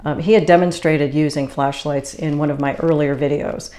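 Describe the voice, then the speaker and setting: A woman from the Boston area, a spiritual channeler hosting her own video, explaining things in a calm, casual monologue. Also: sits low, moderate pace. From Boston area, USA.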